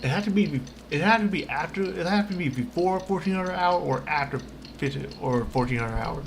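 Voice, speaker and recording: A man's voice: on the high side, lively intonation, a little fast, gravelly. A man chatting casually on a gaming livestream, rambling and slangy as he talks to himself. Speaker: live streamer. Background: game music.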